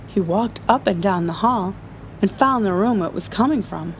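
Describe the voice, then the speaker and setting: A woman reading a children's story aloud to a group, with expressive, emphatic delivery. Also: mid-range voice, moderate pace, a little hiss or hum, low-quality recording.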